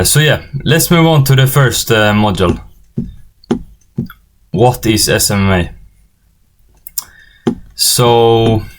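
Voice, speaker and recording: Teenage male tutorial presenter, native Swedish speaker with Swedish accent, lowish pitch, informal and hesitant delivery. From Sweden. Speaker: online business course instructor.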